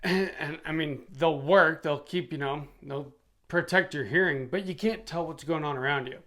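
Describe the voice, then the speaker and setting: A man's voice: on the high side, normal speed, a bit bright. A middle-aged man reviewing gear for his channel in a casual, chatty way. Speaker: product reviewer and YouTube channel host.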